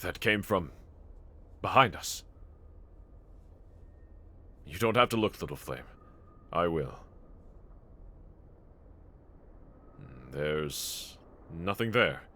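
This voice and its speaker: A man playing a brave, tender young swordsman in an anime audio roleplay, speaking gently and earnestly to a companion in formal phrasing. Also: low-pitched, expressive, slow pace, pauses often, full character voice. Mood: calm, reassuring.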